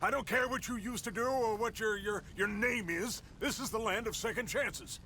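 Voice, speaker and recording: An actor playing an anxious but resolute older American ranch owner in a video game, genteel and slightly stammering. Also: male voice, high voice, moderate pace, pushed and bright, slight background noise. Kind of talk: dramatic video game acting.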